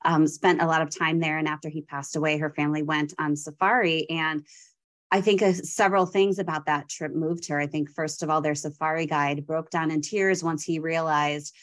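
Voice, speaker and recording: Low-voiced enthusiastic woman guest. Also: flat delivery, normal speed. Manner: warm, enthusiastic, frequent um fillers. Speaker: executive director, guest. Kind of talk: podcast interview.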